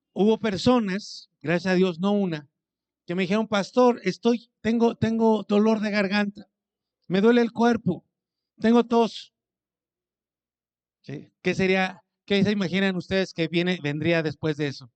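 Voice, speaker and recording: Man, pastor, conversational sermon, lifts his voice to quote people, high-pitched, smooth tone. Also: a little mellow.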